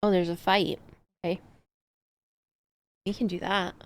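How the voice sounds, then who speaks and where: A woman's voice: fairly low pitch, level tone, unhurried, dry recording. A woman livestreamer chatting casually while she plays a game, with playful exclamations and asides. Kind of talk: casual gaming stream chat.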